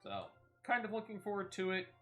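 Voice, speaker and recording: A man's voice: high voice, sing-song, fairly quick, bright, forceful tone. A man giving casual, rambling, playful gameplay commentary as a video game streamer.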